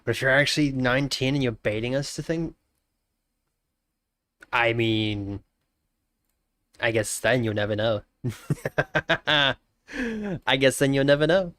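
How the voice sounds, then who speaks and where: A man's voice: medium pitch, a little slow, pauses often, no echo. A man in his twenties with a blocked nose, chatting casually and jokingly on a live stream, often trailing off into mumbles. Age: 20s. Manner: joking, greets chat warmly, trails off into mumbles.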